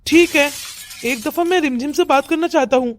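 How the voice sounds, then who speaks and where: Lady doing a worried young husband, high cartoon voice, broods to himself, faint music. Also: normal speed, no echo, natural voice. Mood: worried, determined. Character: anxious young husband, male, regretful, attached to wife. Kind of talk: melodramatic cartoon story acting.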